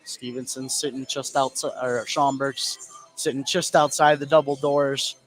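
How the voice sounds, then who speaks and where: A man's voice: medium pitch, fairly even intonation, a little slow, a bit bright, faint music behind. American male basketball color commentator. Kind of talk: conversational live basketball commentary. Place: courtside in a basketball gym.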